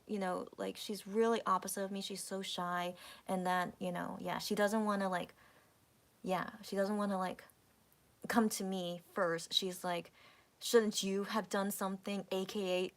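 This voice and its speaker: A woman's voice: mid-range voice, a bit bright. Woman, 30s, livestream host; casual rambling talk with restarts and whispered asides. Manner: rambling, many restarts and fillers, drops to whispers. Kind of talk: casual chat with viewers.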